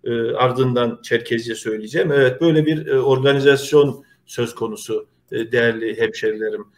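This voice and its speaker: A middle-aged man, hosting an online community show in an earnest, halting, polite way. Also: medium pitch. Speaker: host of a community video show. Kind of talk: informal presenting to an online audience.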